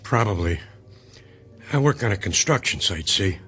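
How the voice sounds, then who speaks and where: A man's voice: fairly low pitch, animated, normal speed, fairly bright tone. A man acting in a radio drama as a plain-spoken, wry construction worker, laconic and casual. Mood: matter-of-fact, sore. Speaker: actor.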